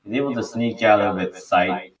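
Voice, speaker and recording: A man giving halting amateur narration of a script at a table read. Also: lowish voice. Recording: roomy, distant mic with faint noise.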